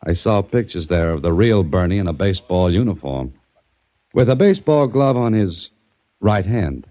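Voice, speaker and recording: Calm private eye, deep mellow voice, dead dry old radio sound. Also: male voice, normal speed, dry recording. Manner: calm, dry, self-assured. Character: cool private detective Dan Holliday. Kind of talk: 1940s radio acting. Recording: dull lo-fi 1940s radio recording.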